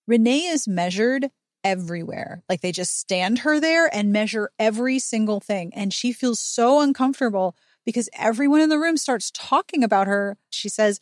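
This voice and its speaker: A woman's voice: fairly high pitch, expressive, normal speed, dry recording, studio quality. A woman hosting a podcast, recapping a book conversationally with wry, mock-incredulous asides. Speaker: podcast host.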